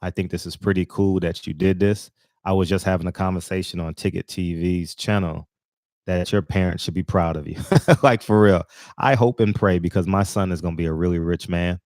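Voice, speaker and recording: A man's voice: sits low, steady tone, a little fast, a little mellow, dry recording, studio quality. A man in his forties giving a casual, rambling commentary monologue as host of his own channel, full of fillers and restarts. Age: 40s.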